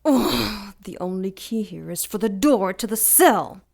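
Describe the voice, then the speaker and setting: A woman voicing an ancient, cruel female demon who taunts slowly and with menace in an audio drama. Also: medium pitch, animated, gravelly. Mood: taunting. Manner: slow, mocking, menacing purr.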